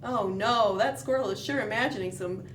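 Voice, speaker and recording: A woman hosting a children's storytime, reading a picture book aloud expressively, with dramatic pauses and questioning asides to young listeners. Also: mid-range voice. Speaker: children's library storytime host. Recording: roomy, distant mic, faint background noise.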